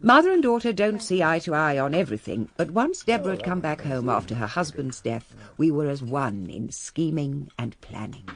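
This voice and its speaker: A woman's voice: deep voice, very animated, moderate pace, few pauses, crowd noise. An actress playing a genteel, reflective older English lady of a declining manor, narrating a radio drama in a refined, wry manner. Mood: matter-of-fact. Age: middle-aged or older.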